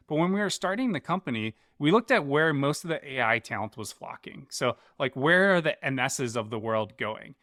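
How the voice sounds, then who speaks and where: A man with a bright, forceful voice at a middling pitch explains his work as an interview guest. He talks like a startup founder in a conversational business register. The sound is dry and close. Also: no room sound.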